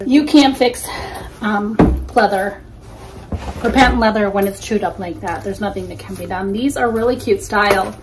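A woman's voice: medium pitch, normal speed. Easygoing woman, 40s, casual unboxing chatter, frequent ums, faint background noise. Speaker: reseller vlogger. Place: small indoor room against a plain wall.

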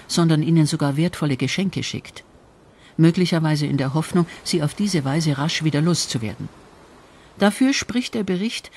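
A narrator reading a scripted history documentary in a measured storytelling register. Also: mid-range voice, slight background noise.

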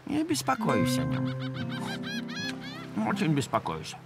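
A man's voice: high voice, wildly swooping pitch, slow pace, over loud music, heavily acted. A man voicing an anxious, indignant cartoon boy pirate, earnest and argumentative. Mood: earnest, worried.

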